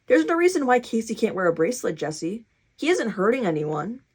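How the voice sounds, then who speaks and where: A woman voicing a calm, accepting storybook grandmother who speaks in a measured, reassuring way. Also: on the high side, slightly rough. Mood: kind, thoughtful.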